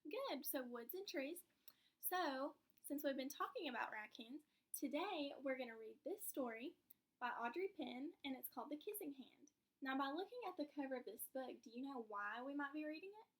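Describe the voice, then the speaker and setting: High-voiced woman teacher leading a read-aloud. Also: lively intonation, moderate pace. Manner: encouraging, instructive. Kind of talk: read-aloud lesson with guiding questions.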